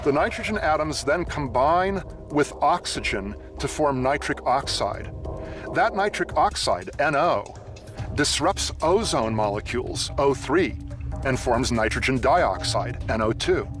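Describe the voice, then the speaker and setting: A man giving measured, step-by-step explanations as an expert being interviewed. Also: medium pitch, lively intonation, over music.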